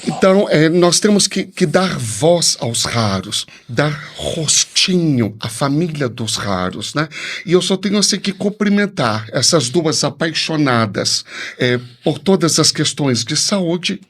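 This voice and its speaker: A man's voice: a little high, big pitch swings, measured pace, fairly bright tone. A Brazilian man, a priest, giving a heartfelt, effusive and devout message with rhetorical turns as a podcast guest.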